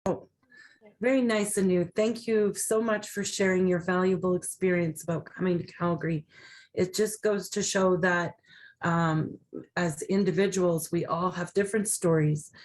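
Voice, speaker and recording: A woman's voice: fairly low pitch, steady tone, a little slow, darkish tone. A woman hosting an online community event, thanking speakers and introducing the next one politely. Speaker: event host.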